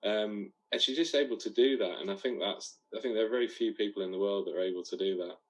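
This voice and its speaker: Lowish male interviewee, speaking informally. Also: fast, poor audio. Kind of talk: unscripted interview comment.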